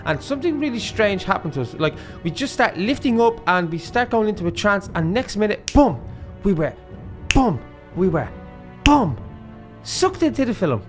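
A performer voicing an excitable, playful young cartoon dog, a protective big brother, for a Minecraft roleplay. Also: mid-range voice, normal speed, faint music behind, lightly acted. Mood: chatty. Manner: animated, exclamatory, playful.

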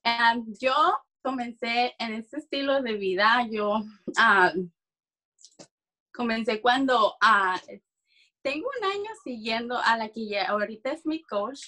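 A young adult woman from Mexico with a Mexican accent, giving a personal testimony in conversation on a video call, halting as she searches for words. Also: fairly high pitch, pushed and bright. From Durango, Mexico. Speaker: interview guest giving a testimonial. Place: small room at home, video call.

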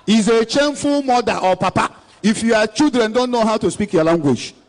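A man's voice: high voice, fairly quick. A Nigerian man in his thirties, an evangelist exhorting an outdoor crowd and switching between Igbo and English into a handheld mic. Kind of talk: preaching at an outdoor church rally. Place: outdoor stage before a large crowd. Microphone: handheld microphone.

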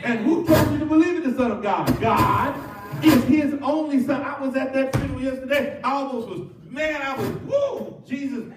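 A middle-aged man preaching a sermon as pastor, animated and full of call-and-response. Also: very high-pitched, moderate pace, music in the background. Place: church sanctuary with cross wall. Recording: roomy church from far off.

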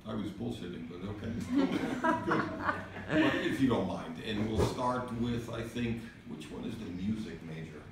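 A middle-aged American man with a low voice leads an informal meeting in a teasing, joking way. He is recorded far from the mic in a very echoey office, with clear background noise. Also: huge pitch swings, measured pace. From New England, USA. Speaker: think tank director hosting a meeting. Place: small office meeting room. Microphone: distant camera mic.

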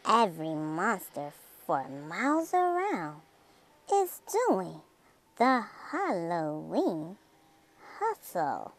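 A woman reading a rhyming children's picture book aloud in a dramatic, theatrical way, drawing out words and dropping into hushed phrases. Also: medium pitch. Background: music.

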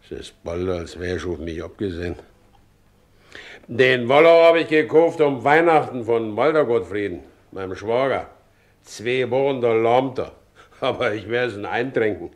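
An actor playing a good-natured, worried, ageing carter in a radio drama, talking plainly and grumbling. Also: male voice, mid-range voice, lively intonation, moderate pace, natural voice. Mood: troubled grumbling. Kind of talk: 1960s German radio drama acting.

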